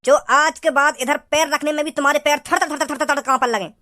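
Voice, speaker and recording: A performer voicing a menacing, scheming male cartoon gangster, speaking in deliberate, boastful threats. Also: sits high, fairly even intonation, sharp tone. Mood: threatening. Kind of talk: cartoon villain dialogue. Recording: music behind the dialogue.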